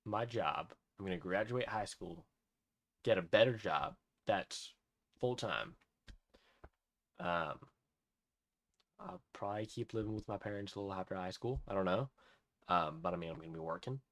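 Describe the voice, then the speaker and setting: A man's voice: lowish voice, no echo. Awed teenage guy ranting, dry sound. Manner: awed, repeats himself, restarts often. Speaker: vlogger. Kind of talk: excited personal rant.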